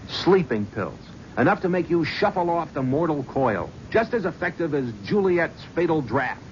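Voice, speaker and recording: An actor playing a cynical young talent-agency gofer in a 1970s radio drama, narrating and talking in a brusque, flippant, wisecracking way. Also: male voice, fairly high pitch, very animated, normal speed. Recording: muffled old radio broadcast, faint hiss.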